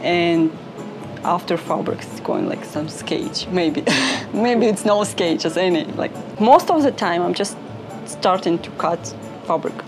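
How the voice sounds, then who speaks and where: A young woman from Ukraine with a Ukrainian accent, a fashion designer talking in halting English full of "like" fillers. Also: fairly low pitch, animated, music in the background. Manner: halting non-native English with many "like" fillers. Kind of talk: interview talk.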